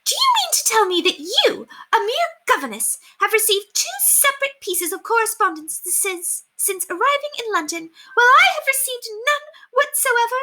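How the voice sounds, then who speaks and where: A snappish, imperious noblewoman on the edge of hysterics, as a woman reader acts her out. Her voice shoots very high and swings widely, with a bright, piercing edge, in storybook style. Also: big pitch swings, normal speed, hardly any pauses, heavily acted, poor audio. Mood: indignant. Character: haughty, hysterical aristocratic lady, snappish and imperious. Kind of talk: children's storybook read aloud.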